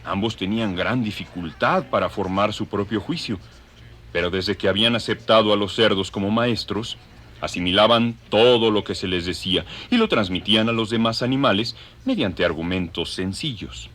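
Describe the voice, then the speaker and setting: A man's voice: lowish voice, normal speed, fairly bright tone. A man narrating an audio drama in a scripted, dramatic storytelling style.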